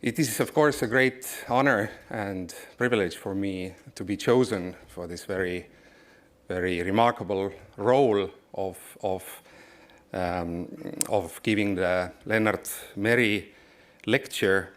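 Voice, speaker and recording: A man in his forties from Estonia giving a formal lecture, speaking in a measured way with some halting restarts. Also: low-pitched. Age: 40s. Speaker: guest lecturer.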